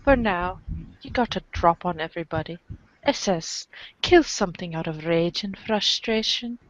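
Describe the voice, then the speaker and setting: A woman's voice: fairly low pitch, lively intonation, normal speed, no room sound, poor audio. A woman performing theatrical read-aloud narration as part of a podcast cast.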